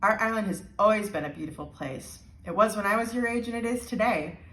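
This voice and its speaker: A woman's voice: medium pitch, animated, a little fast, a bit bright, far from the mic, lightly acted. Woman voicing a solemn old island man, roomy echo. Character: grouchy old island man, grave storytelling handyman. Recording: roomy, echoing indoor sound.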